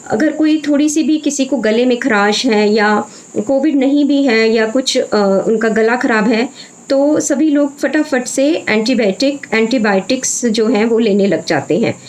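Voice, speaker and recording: A woman's voice: a little high, measured pace, a little mellow, poor audio. A woman speaking as an Ayurvedic doctor, giving an informal health talk with frequent hesitations and phrases mixed in.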